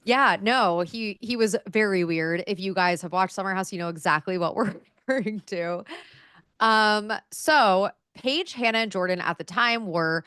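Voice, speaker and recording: A woman's voice: mid-range voice, normal speed, sharp tone, clear, resonant tone, dry recording, studio quality. A woman in her thirties co-hosting a podcast, chatting casually and reading through research notes with plenty of "um" and "like". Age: 30s. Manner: chatty, filler-heavy, with frequent "um" and "like". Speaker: podcast co-host.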